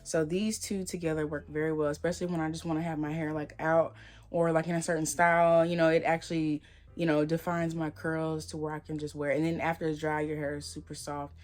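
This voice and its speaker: A woman with a slightly hoarse voice, chatting casually and rambling as she reviews hair products. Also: low-pitched, flat delivery, fairly quick, a little mellow, soft background music. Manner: chatty, rambling, lots of fillers. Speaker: hair vlogger.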